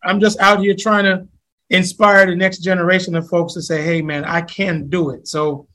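A middle-aged man with a Jamaican accent hosting a podcast interview, chatty and quick to laugh. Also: high-pitched, little pitch movement. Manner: warm, laughs often, repeats phrases. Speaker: podcast host. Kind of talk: casual interview chat.

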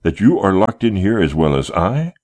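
A man voicing a desperate young gentleman in love who pleads with his captor in impassioned, formal, old-fashioned speech. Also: deep voice, very animated, measured pace, creaky, pitched above the performer's own voice, heavily acted. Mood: aghast, indignant.

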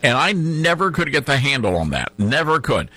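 A man with a mild Tennessee twang hosting a talk radio show, chatting in an animated, folksy way. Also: medium pitch, moderate pace, dry recording, faint music behind. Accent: Southern US, Tennessee. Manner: animated, folksy, laughs easily. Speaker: radio host.

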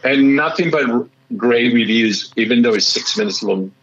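A man with a slightly raspy voice, a musician chatting excitedly in an interview and often restarting his sentences. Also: medium pitch, normal speed, lo-fi sound. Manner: excitable, rambling with many restarts. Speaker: musician guest. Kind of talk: casual interview chat.

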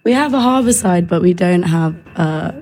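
A woman's voice: lowish voice, expressive, moderate pace, a little mellow. A young woman from England with an English accent, casually introducing herself as an interview guest, with some background noise. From Bristol, UK. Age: young adult. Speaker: guest, conservation zoologist.

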